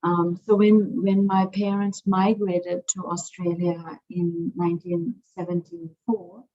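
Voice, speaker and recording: A middle-aged woman from Sri Lanka, a museum director speaking as a guest in an interview, thoughtful and searching for words with many pauses and fillers. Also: fairly low pitch, flat delivery, warm tone. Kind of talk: interview conversation. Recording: dull lo-fi sound.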